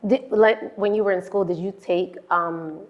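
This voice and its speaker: Low-voiced woman hosting a chat. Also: normal speed, muffled recording. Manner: relaxed, frequent "mm-hmm" and "yeah" backchannels. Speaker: podcast host. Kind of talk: casual interview conversation.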